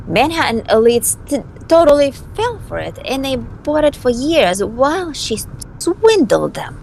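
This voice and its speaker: A woman's voice: on the high side, a little hiss or hum, poor audio. A woman voicing a flippant, scheming young vampire socialite in an amateur visual novel dub, with a snarky, theatrical delivery.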